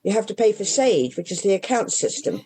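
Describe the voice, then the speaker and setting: Older english lady bookseller, warm mellow tone, keeps restarting and wandering off on asides. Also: medium pitch, very animated, fairly quick. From England. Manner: rambling, with many restarts and trailing asides. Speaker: independent bookshop owner. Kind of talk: casual interview chat.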